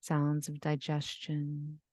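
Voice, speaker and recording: Low soft-mannered lady doing a guided meditation, lots of pauses, really dry close sound. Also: completely flat delivery, no echo. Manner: soothing, gentle instruction with long pauses. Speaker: yoga nidra guide.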